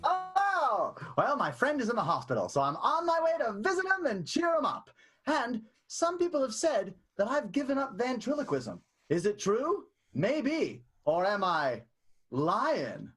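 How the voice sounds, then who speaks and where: Man as sly showbiz millionaire, lively swoops, arch, teasing, radio comedy, noisy clicky sound. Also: very high voice, unhurried, full character voice. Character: sly, glamorous millionaire vaudeville impresario. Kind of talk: improvised radio comedy acting.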